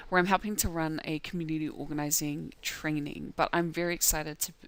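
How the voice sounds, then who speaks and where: A young woman with a New Zealand accent telling a prepared personal story as a seminar speaker. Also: deep voice. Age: young adult. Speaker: seminar speaker, community organiser.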